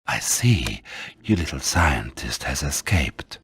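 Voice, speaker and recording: An actor playing a sinister psychic mastermind in a video game, slow and menacing. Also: male voice, rumbling low, big pitch swings, creaky, a bit bright, breathy edge, heavily acted. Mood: cold, menacing. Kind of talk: video game villain acting.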